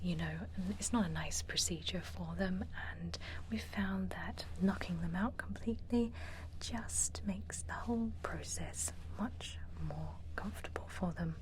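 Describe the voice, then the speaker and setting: A woman playing a brisk, mildly condescending vampire lab worker in an ASMR roleplay, speaking in a breathy, coaxing whisper. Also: on the low side, a little hiss or hum, low-quality recording. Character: brisk female vampire blood-lab worker, caring yet disdainful of humans.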